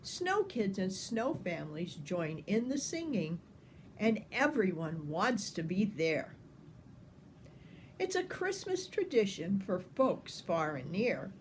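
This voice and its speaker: An older woman reading a children's picture book aloud with expressive, deliberate phrasing, like a grandmother talking to young listeners. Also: medium pitch, a little hiss or hum.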